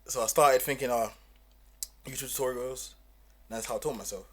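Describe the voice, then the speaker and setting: A young man, a guest music producer, quietly telling his story in short, plain phrases at a desk mic in a small podcast studio. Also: mid-range voice, steady tone, a little fast. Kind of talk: casual podcast chat. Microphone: desk mic with headphones.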